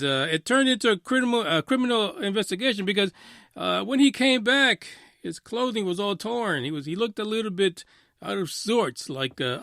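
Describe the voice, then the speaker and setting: A man's voice: sits high, dry recording, clean studio sound. A man hosting a casual live talk radio show, commenting on a story in an emphatic, often sweary way. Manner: animated, emphatic, frequently swearing.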